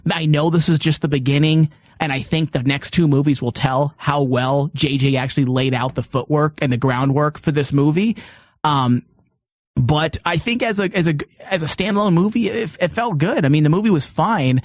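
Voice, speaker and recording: Dude hosting a podcast, kinda high voice, really dry studio sound, chatty, likes to tease. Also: male voice, moderate pace, dry recording. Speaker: podcast host. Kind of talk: casual studio chat.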